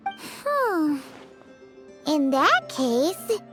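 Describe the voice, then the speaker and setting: A tiny, naive game companion, curious and childlike. The squeaky voice is extremely high, bounces around in pitch and comes out slowly over prominent music. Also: female voice, huge pitch swings, full character voice. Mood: curious, puzzled. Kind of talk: video game voice acting.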